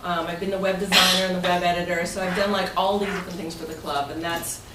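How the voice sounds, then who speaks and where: A woman's voice: lowish voice, flat delivery, fast talker. Chatty woman presenting in an echoey room. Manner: chatty and playful, jokes and invites audience answers. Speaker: club president giving an overview. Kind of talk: informal presentation to a club meeting. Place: meeting room, voice picked up at a distance. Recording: echoey noisy meeting room, distant mic.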